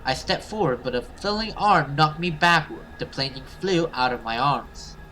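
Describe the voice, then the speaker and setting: Man, bright forceful voice, theatrical horror story narration, gamer reading aloud, slight noise behind. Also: fairly high pitch, expressive, normal speed, rough recording. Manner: theatrical, with casual swearing asides. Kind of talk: dramatic let's play narration of a horror story.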